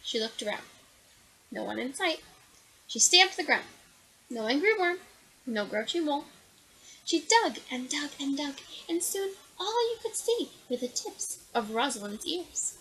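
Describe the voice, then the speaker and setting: A woman reading a children's picture book aloud in an animated, expressive way. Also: high voice, a little slow, slight background noise, low-quality recording. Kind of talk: children's storybook read-aloud.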